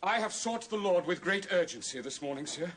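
A British actor playing an impassioned, pious army major in a period television drama, accusing and formal. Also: male voice, high voice, animated, fairly quick, fairly bright tone, slight background noise. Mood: earnest protest.

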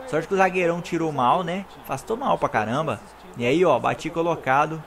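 Fast-talking hoarse Brazilian gamer guy. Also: a little high. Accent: Brazilian Portuguese. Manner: excited, slangy, talks to viewers. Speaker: gaming YouTuber. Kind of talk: live gameplay commentary.

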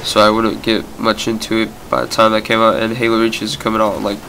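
A young man with a cold talks through his gameplay in a low, flat, nasal voice. He rambles casually, full of fillers and loose asides. Game gunfire and explosions are clearly audible behind him. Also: fast talker, poor audio. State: sick, nasal voice. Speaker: gameplay commentator. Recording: background game gunfire noise.

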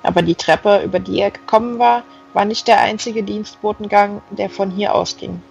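A woman's voice: medium pitch, fairly even intonation, normal speed, vocal fry, a little mellow, faint music behind. A woman reading a novel aloud as scripted narration, on a slightly dull recording. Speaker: audiobook reader.

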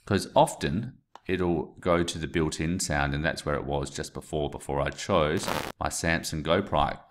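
A man's voice: very deep voice, a little slow, background noise. A man in his thirties talking through a screen-recorded tutorial step by step into a USB desk mic in a small room. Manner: plain step-by-step explaining with asides. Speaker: teacher. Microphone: USB desk mic, webcam framing.

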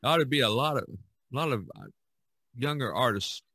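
A man's voice: mid-range voice, lively intonation, moderate pace, pushed and bright, husky, no room sound. An older man hosting a casual podcast chat, rambling and often repeating his phrases. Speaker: podcast host.

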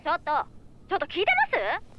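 An actress playing a bossy, exasperated young office secretary in a video game, scolding and insistent. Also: female voice, high voice, very animated, quick pace, heavy background noise. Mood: accusing, exasperated. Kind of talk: video game cutscene acting.

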